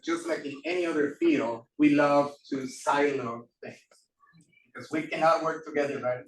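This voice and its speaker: Man, professor, classroom lecture, halting with ums, slightly high voice, faint hiss. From Costa Rica. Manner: halting, many fillers and restarts. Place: lecture room. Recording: echoey room from far off.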